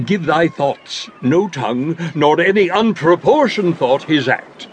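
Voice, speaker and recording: Slow, pompous old father. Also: fairly high pitch, animated, slight background noise. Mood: fond, sententious. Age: older. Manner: pompous, halting, sententious advice-giving. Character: fussy, rambling old father, a pompous royal counsellor. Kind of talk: Shakespearean audio drama acting.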